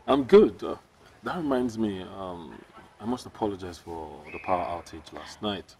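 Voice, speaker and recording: A man in his thirties with a Nigerian accent, acting a clinic manager in a film drama and speaking in a measured, stern way. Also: low-pitched, expressive. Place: outdoors on a clinic balcony. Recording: distant mic with faint noise.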